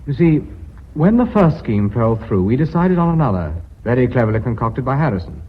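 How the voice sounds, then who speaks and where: A brisk British company boss in a radio play. His voice is husky and swings widely in pitch, earnest and formal, on a muffled old recording. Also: big pitch swings. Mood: businesslike. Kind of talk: British radio drama acting.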